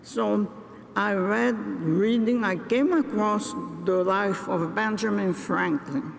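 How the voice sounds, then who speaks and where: An older woman from Brazil giving a graduation address, speaking in a deliberate, halting way with pauses and restarts. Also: mid-range voice, vocal fry. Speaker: commencement speaker. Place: large ceremony venue. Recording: reverberant hall with faint noise.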